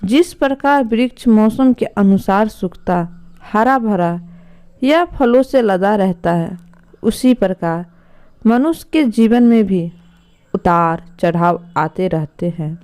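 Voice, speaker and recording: A wise, patient king voiced by a woman storyteller counsels his sons in a storybook tale. The voice is mellow and clear, with an authoritative, instructive manner. Each piece of advice is laid out slowly. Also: mid-range voice, natural voice. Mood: calm, advising.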